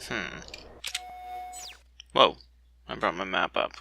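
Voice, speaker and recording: A man's voice: low voice, expressive, slow pace, creaky, sharp tone, a little hiss or hum. A man casually commentating a video game as he plays, thinking aloud and swearing mildly. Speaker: let's player. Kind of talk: casual blind let's play commentary.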